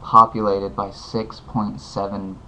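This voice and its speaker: A man's voice: on the low side, level tone, slow, pushed and bright. A man giving rambling, earnest advice in a casual video recorded at home. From United States. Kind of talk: casual heartfelt advice monologue. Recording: dull lo-fi home video with faint hiss.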